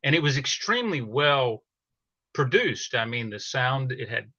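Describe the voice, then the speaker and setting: A man's voice: mid-range voice, sing-song, measured pace, sharp tone, dry recording, muffled recording. A middle-aged man talking casually as a podcast guest in an interview. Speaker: podcast guest, audio drama producer.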